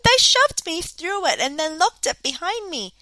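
A woman's voice: very high-pitched, big pitch swings, moderate pace, bright, forceful tone, dry recording, voice pushed up, full character voice. A woman voicing a small, scrawny, cheeky boy in a children's story, matter-of-fact in manner.

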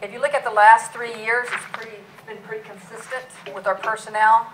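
Loud-voiced woman police chief presenting. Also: fairly high pitch, flat delivery, fairly quick, slight background noise, poor audio. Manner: loud, plain-spoken, many fillers. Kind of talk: public budget presentation. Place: council meeting room.

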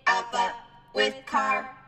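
Robot voice, slow clipped monotone, old radio drama, loud music behind. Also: female voice, high-pitched. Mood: flat, obedient. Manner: monotone, clipped broken sentences. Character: killer robot, flat, slow and obedient.

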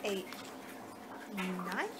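A young woman doing an improvised ASMR roleplay, muttering in an unhurried, distracted way on a noisy amateur home recording. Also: lowish voice, expressive. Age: young adult. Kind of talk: ASMR roleplay, improvised reading of fortune cards. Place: small home room, indoors. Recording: noisy amateur webcam-style room recording.

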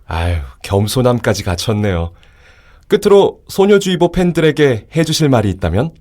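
An actor playing a chatty interviewer who flatters the young singers he questions. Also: mid-range voice, normal speed, natural voice. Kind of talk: radio drama acting.

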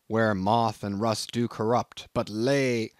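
A man's voice: lowish voice, fairly even intonation, slow pace, no room sound, clean studio sound. A man voicing a stingy, pious old Quaker ship owner in a storybook reading, preaching steadily and solemnly. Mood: mumbling piety. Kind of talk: audiobook character acting.

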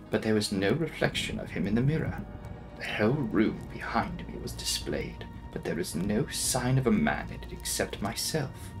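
A young man giving a dramatic, brooding reading of a gothic novel, with theatrical, deliberate phrasing. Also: medium pitch, low-quality recording. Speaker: audiobook narrator.

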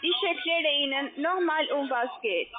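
A woman speaking non-native German as a call-centre agent, insistent, halting and repeating herself on a sales call. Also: high voice. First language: not German. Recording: tinny narrowband phone line.

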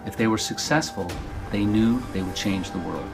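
A man with a fairly low voice tells his story in a measured, scripted narration. Music plays clearly beneath him throughout. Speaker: author narrating his book trailer. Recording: music bed under the voice.

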